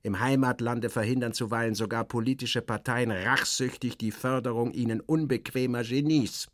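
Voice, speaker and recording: A man's voice: medium pitch, steady tone, normal speed, dry recording, clean studio sound, close to an everyday voice. A man reading an audiobook and voicing a busy office chief who speaks in an emphatic, diplomatic and at times hesitant manner. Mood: hesitant, regretful.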